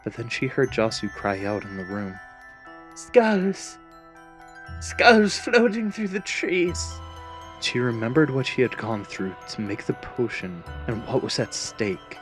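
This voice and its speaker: A man reads a scripted fantasy tale as its narrator. His voice is on the low side, with dramatic storytelling delivery over a musical backdrop. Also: normal speed, few pauses, soft background music.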